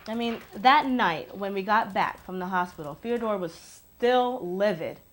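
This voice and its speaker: A woman's voice: mid-range voice, a little slow, natural voice. An actress playing an aggrieved, curious ex-girlfriend giving naturalistic testimony about her lover in a film drama. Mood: puzzled.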